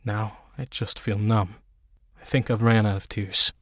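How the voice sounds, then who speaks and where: A man playing a polite teenage boy numb with grief in an audio drama, halting and subdued. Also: on the low side, fairly even intonation, dry recording, clean studio sound.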